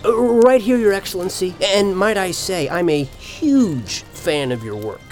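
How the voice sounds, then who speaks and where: Man acting smarmy male swindler, animated intonation, fawning, eager, oblivious, comedy audio drama. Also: high-pitched, a little slow, soft background music.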